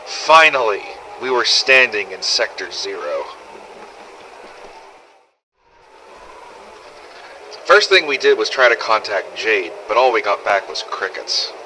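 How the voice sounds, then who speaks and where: A man's voice: medium pitch, pushed and bright, slight background noise, low-quality recording. A man playing a brash, foul-mouthed survivor of a zombie apocalypse, telling a scripted audio log in a blunt, sardonic, conversational way. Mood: subdued, determined. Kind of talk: scripted first-person audio log narration.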